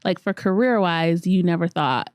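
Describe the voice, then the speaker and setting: A woman's voice: on the low side, moderate pace, no room sound. Woman podcast host from California. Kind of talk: casual interview.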